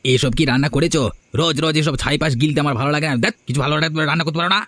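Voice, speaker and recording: Fast-talking bitter village farmer. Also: male voice, on the high side, fairly even intonation, a bit bright, no room sound. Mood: irritated. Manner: sour, sarcastic. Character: bitter, jealous poor farmer, short-tempered husband. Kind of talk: Bengali cartoon voice acting.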